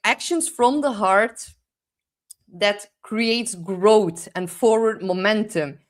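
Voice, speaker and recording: Chatty Dutch-accented woman astrologer. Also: medium pitch. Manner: rambling, many "like" fillers, searches for English words. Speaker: astrologer host. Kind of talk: casual astrology forecast talk.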